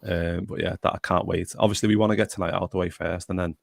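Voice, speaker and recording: A man's voice: low voice, steady tone, fast talker, vocal fry, no echo, studio quality. A young man hosting a casual podcast chat and reading out viewer comments. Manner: chatty, reads out viewer comments. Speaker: podcast host.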